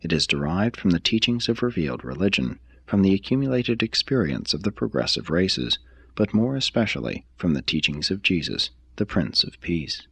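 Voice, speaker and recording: A man reading a written text aloud like an audiobook, in a measured, formal, deliberate manner. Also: sits low, sing-song, gravelly, a bit bright, breathy edge, no room sound. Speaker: reader of a text. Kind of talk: scripted reading, audiobook-like narration.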